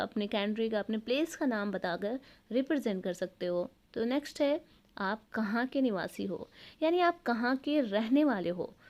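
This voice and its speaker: A woman with an Indian accent teaching everyday English sentences in Hindi, saying each English sentence slowly and clearly. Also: on the high side. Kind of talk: spoken English lesson in Hindi.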